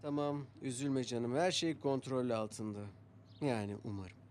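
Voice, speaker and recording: A man voicing a patient, gentle young father in a children's cartoon dub, explaining things in a soothing way. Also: medium pitch, a little slow, slight background noise, lightly acted. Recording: music behind the voice.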